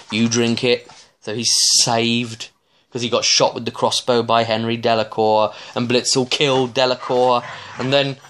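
A man in his thirties giving a casual, rambling recap as a game master, halting and often trailing off mid-thought. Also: mid-range voice, fairly bright tone. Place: small domestic room indoors.

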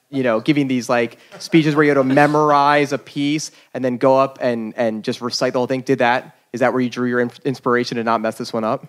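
A male podcast host asking long, winding interview questions. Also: mid-range voice.

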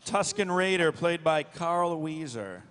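A man's voice: a little high, expressive, slow pace, a bit bright. A man moderating a live panel, announcing the cast of a table read. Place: convention panel stage.

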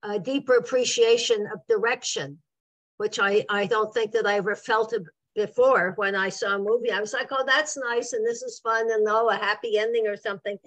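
Older woman, high voice, enthusiastic, stumbling, dry video-call audio. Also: steady tone, fairly quick, fairly bright tone, no echo, poor audio. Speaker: discussion participant. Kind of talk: casual chat on a video call. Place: home room.

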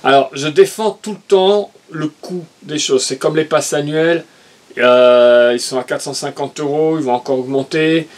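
Animated middle-aged man, higher voice. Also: normal speed. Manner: animated, opinionated, gestures while talking. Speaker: co-host, father. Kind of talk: casual chat. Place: room with shelves of toys and merchandise.

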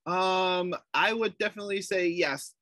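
An American man with a slightly higher voice stumbles and restarts as he chats. His recording is dry and close, with little room sound. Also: normal speed, sharp tone, no room sound. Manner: hesitant, restarts a lot. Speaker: co-host, writing lead. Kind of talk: casual video call update.

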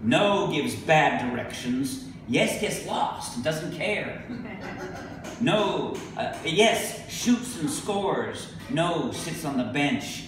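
A middle-aged man giving a live poetry reading, speaking deliberately with emphatic pauses. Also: a little high, expressive. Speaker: poet. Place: indoor venue with a staircase, live reading. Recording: echoey room from a distance, noisy.

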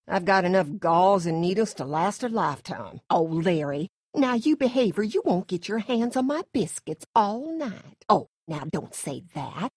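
A woman's voice: mid-range voice, big pitch swings, normal speed, hardly any pauses, darkish tone, husky, no echo, studio quality. A woman playing a middle-aged Southern farm mother from a video game, with folksy, effusive hospitality and a controlling streak. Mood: kindly. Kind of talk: video game character acting.

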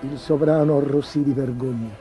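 A man's voice: mid-range voice, fairly even intonation, normal speed, dark tone. A man narrating a scripted fairy tale for children as a storyteller. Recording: dull, muffled lo-fi track. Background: music.

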